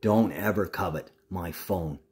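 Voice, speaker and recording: A man's voice: low voice, measured pace, a little mellow, a little hiss or hum. A man talking casually to the camera in a rambling, agitated monologue full of swearing.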